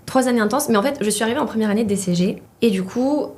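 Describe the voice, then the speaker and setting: A woman's voice: fairly high pitch, quick pace, a little mellow. Young woman, hesitant interview guest. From Poland. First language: Polish. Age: young adult. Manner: hesitant, many fillers and restarts. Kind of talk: casual interview.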